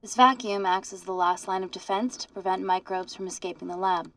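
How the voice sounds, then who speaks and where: A woman's voice: medium pitch, brisk, lightly acted. An actress playing a composed woman scientist in a sci-fi film, speaking naturally with a dry, matter-of-fact manner. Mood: matter-of-fact, wry. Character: composed woman scientist leading a high-security lab.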